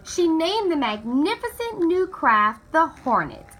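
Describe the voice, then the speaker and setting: A woman's voice: very high voice, sing-song, a little slow, a bit bright, a little hiss or hum. A woman reading a children's picture book aloud expressively, drawing out key words for dramatic effect. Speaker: storybook reader.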